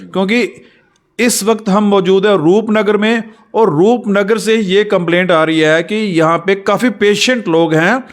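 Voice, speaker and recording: High-pitched male interviewer. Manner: halting, repeats and rephrases the guest's points. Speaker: interviewer, local reporter. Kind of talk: local news interview.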